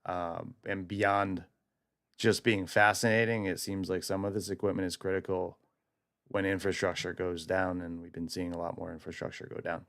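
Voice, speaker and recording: A man with a gravelly voice, talking casually and explaining things as a livestream host. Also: sits low, level tone, normal speed, a bit bright, no echo, studio quality.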